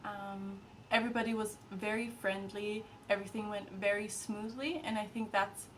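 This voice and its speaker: A young woman in her twenties, an intern, talking in a relaxed, chatty testimonial full of fillers. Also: medium pitch, normal speed, a little hiss or hum. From Austria and Germany, grew up in China and Thailand. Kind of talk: casual testimonial.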